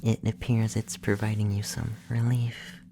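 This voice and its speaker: A performer playing a calm, clinical, gently curious young effeminate male alien abductor in a soft, breathy, often whispered ASMR roleplay, speaking slowly and soothingly. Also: mid-range voice, background noise, close to an everyday voice. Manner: slow, soothing, softly spoken, matter-of-fact.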